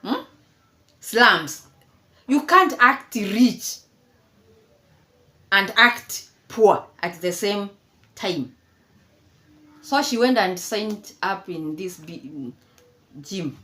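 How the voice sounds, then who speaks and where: Slow-talking woman in her fifties, mocking. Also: medium pitch, animated, sharp tone. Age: 50s. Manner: chatty, mocking, laughs often, repeats herself. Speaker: commentary vlogger. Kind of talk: casual commentary chat.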